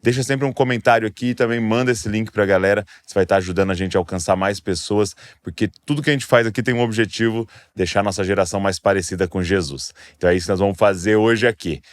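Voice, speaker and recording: A Brazilian man hosting a faith podcast, talking in a relaxed, rambling way with lots of fillers. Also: fairly low pitch, fast, hardly any pauses, no echo, studio quality. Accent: Brazilian Portuguese. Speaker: podcast host. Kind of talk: casual chat.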